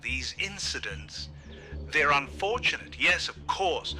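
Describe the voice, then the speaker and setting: An actor playing a grandiose, optimistic park founder on a radio call in a horror drama, oratorical and soothingly persuasive. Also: male voice, fairly high pitch, animated, takes it slowly, sharp tone, faint music behind, full character voice. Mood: persuasive, insistent. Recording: noisy radio transmission.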